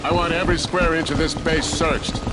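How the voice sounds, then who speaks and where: An actor playing a stern, commanding military officer in a video game, giving orders with urgent authority. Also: male voice, fairly high pitch, fairly even intonation, brisk, heavy background noise, natural voice. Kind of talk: scripted game cutscene acting.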